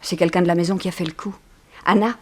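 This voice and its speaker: An actress in a 1960s French radio detective drama playing a poised, quick-witted woman journalist, gracious and slyly innocent. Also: on the low side, fairly even intonation, close to an everyday voice. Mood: curious, complicit.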